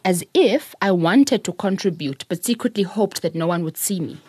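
South african woman, husky, lowish voice, earnest, reflective interview guest. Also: lively intonation, moderate pace, no echo. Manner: earnest, repeats words, laughs softly while telling stories. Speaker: guest, public speaker and speaking coach.

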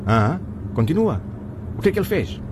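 A man's voice: on the high side, big pitch swings, normal speed. An actor playing a cheerful, curious middle-aged father in a radio drama, chatty and encouraging. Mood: concerned, probing. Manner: chatty, eager, probing. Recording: clear outdoor traffic noise behind. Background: car and street ambience.

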